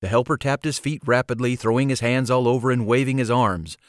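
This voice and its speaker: A man's voice: medium pitch, brisk, dry recording. Man narrating a storybook, very dry recording. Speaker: audiobook narrator and author.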